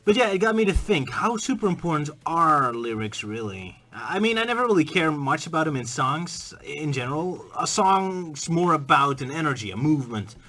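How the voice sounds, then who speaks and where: Bright-voiced man musing casually. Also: fairly high pitch, big pitch swings, moderate pace, hardly any pauses. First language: Dutch. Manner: musing, conversational, bursts into playful mock singing. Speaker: video essay host. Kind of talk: casual spoken commentary.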